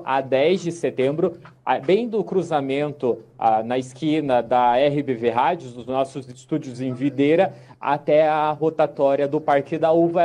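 A man's voice: on the high side, warm tone. A young man giving a live news report outdoors into a handheld microphone. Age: young adult. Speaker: field reporter. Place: outdoors in a park-like event ground.

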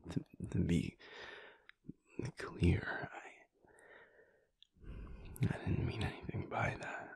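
A man playing a soft, unsure werewolf boyfriend in an intimate audio roleplay, breathy and husky, murmuring with hesitant stammers. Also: sits low, expressive, slow, exaggerated voice. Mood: bashful, teasing. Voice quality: breathy, husky, half-whispered. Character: soft, unsure, shy, grumpy-but-endearing werewolf boyfriend.

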